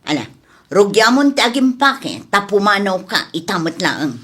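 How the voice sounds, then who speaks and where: A woman playing a composed, firm wife and household employer in a domestic drama, speaking in a measured way. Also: medium pitch, sing-song, fairly bright tone, slightly rough. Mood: stern. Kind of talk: domestic audio drama acting.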